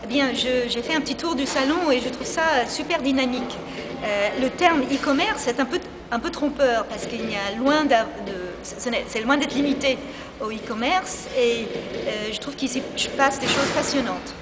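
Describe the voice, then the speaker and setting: A woman's voice: sits high, moderate pace, crowd noise. A woman with a slight foreign accent, talking energetically about her field in an improvised interview, with frequent restarts. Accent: slight foreign accent in French. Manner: energetic, enthusiastic, with many restarts. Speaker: interviewee, e-health specialist. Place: busy convention hall. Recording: reverberant hall with loud ambient noise.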